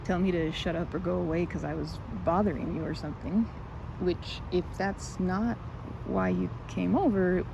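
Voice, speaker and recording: A woman's voice: lowish voice, steady tone, normal speed, vocal fry, noisy background. A woman playing a timid, socially anxious young woman in an ASMR roleplay, stammering and rambling nervously as she corrects herself. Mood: shy, nervous. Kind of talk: ASMR roleplay, intimate one-sided romantic dialogue.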